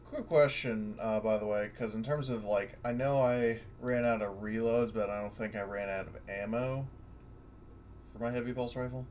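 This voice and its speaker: A man playing a tabletop game who chats casually, gets excitable and swears often. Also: medium pitch, moderate pace. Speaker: player. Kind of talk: casual chat.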